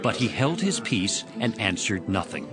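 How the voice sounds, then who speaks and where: A man's voice: on the low side, sing-song, normal speed, music in the background. A man narrating dramatized scripture as a storyteller between the character parts.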